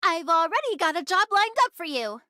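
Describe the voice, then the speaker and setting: A woman's voice: very high-pitched, big pitch swings, brisk, bright, forceful tone, no room sound, studio quality, full character voice. A woman voicing a feisty, proud but caring young female smuggler in an anime video game, brash and theatrical. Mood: frank, bossy.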